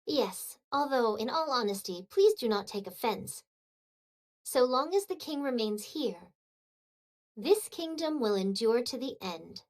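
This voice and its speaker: A woman playing a loyal, formal and dryly vengeful royal retainer in a video game, with measured, courtly diction. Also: fairly high pitch, very animated, a little mellow. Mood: calm, dry.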